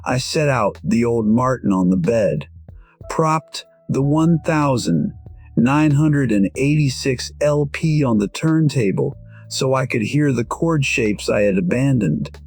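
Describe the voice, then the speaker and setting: A man voicing a weary, proud musician in his sixties, narrating an audio drama in a measured, reflective way. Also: medium pitch, expressive, a little mellow, slightly rough, soft background music. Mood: quiet regret. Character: weary, proud 62-year-old male musician, quietly regretful. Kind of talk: first-person scripted narration, audio drama.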